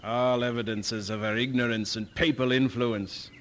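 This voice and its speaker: Guy doing a scottish preacher in a radio play, stern and scornful, mid-pitched voice. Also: fairly even intonation, moderate pace, a bit bright, close to an everyday voice. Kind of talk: radio drama acting.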